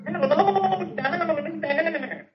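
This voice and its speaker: A man's voice: very high-pitched, big pitch swings, normal speed, breathy edge, faint music behind, voice pushed up, full character voice. A man voicing a hapless young army private from a comic strip, plaintive and calling out, in an old radio funnies reading. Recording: dull old radio recording.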